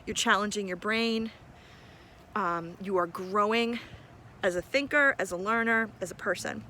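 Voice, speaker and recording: A woman's voice: medium pitch, measured pace, sharp tone. A middle-aged woman speaking as an encouraging teacher in a casual video message to students, recorded outdoors in a park. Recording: outdoor park ambience noise.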